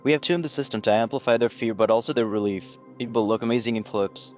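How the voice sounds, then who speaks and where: A man playing an uneasy, conscience-stricken lab technician in an audio story, earnest and measured. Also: mid-range voice, a little mellow, close to an everyday voice. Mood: strained. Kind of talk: scripted audio story dialogue. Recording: music playing behind the voice.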